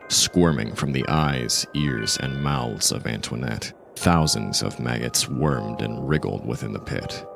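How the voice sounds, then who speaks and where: A man narrating a dark audio drama in a measured, literary storytelling manner. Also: a bass voice, creaky. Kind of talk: scripted narration of dark fiction.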